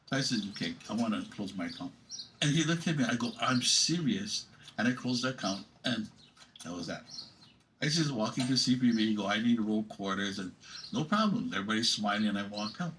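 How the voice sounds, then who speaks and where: A man's voice: lowish voice, expressive, fairly quick, fairly bright tone, a little hiss or hum, poor audio. A man with a Hawaiian accent telling a short anecdote as a bank customer in an ad, in a laid-back storytelling way. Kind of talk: storytelling testimonial.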